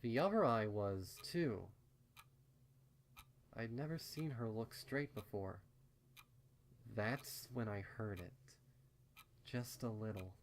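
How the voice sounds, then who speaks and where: A man reading a first-person fan fiction story as narrator, in a measured, subdued manner. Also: fairly low pitch, slight background noise.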